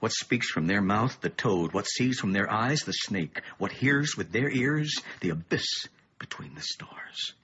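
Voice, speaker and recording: An actor playing a weary middle-aged, bookish father in a radio play, grave and thoughtful, with a husky voice and a measured, ominous way of explaining. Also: fairly low pitch, expressive, pushed and bright, a little hiss or hum. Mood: ominous, hushed. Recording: dull, muffled lo-fi radio play.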